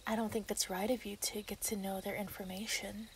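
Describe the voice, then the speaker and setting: A woman in an ASMR roleplay voicing an obsessive, sweetly possessive female werewolf, breathy and often dropping into a whisper. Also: medium pitch, level tone, background noise, lightly acted. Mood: menacing, taunting. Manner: slow, teasing, giggly.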